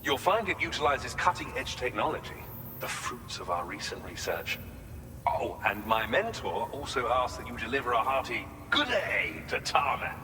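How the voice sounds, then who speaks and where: A man playing a friendly, eager research assistant in a game. His medium-pitched voice is chipper and informal, with long reverb and clear noise around it. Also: big pitch swings, moderate pace, close to an everyday voice. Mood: cheerful, helpful. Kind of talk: video game cutscene dialogue.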